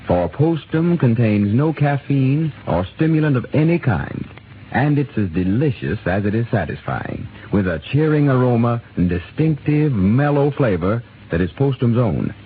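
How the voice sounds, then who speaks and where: A man announcing a 1930s radio program and reading its sponsor's ad in a smooth, salesmanlike way. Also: medium pitch, moderate pace. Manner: smooth, expressive salesmanlike delivery. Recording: tinny 1930s radio broadcast with hiss.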